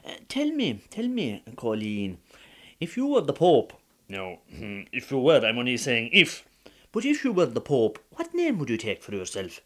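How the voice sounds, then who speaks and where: A man's voice: medium pitch, wildly swooping pitch, normal speed. A man with an Irish accent voicing an ambitious peasant wife who coaxes and wheedles her husband. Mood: coaxing. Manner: coaxing, wheedling. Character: scheming ambitious peasant wife, middle-aged woman.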